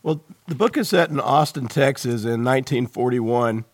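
A man's voice: mid-range voice, normal speed. A man hosting a podcast, reading introductions and then asking questions in a friendly, easy way. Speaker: podcast host. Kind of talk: scripted intro then casual interview.

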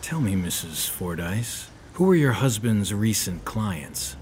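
An actor playing a world-weary, tough private detective in a noir audio drama, speaking in a dry, wry, unhurried way. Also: male voice, fairly low pitch, very animated, darkish tone, slight background noise. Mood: businesslike.

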